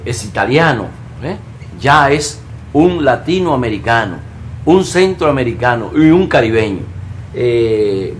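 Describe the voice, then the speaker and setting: A man's voice: medium pitch, slight background noise. A man hosting a studio TV interview, introducing his guest effusively and leaning on "eh" fillers. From Dominican Republic. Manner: effusive, filler-heavy, fond of "eh". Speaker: TV talk show host. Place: local TV studio.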